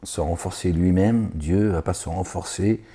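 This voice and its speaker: A man's voice: sits low, measured pace, dark tone, breathy edge. A man reading scripture aloud and commenting on it in a digressive way, with sarcastic asides and hesitant fillers. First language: not Arabic. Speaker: religious lecturer reading scripture.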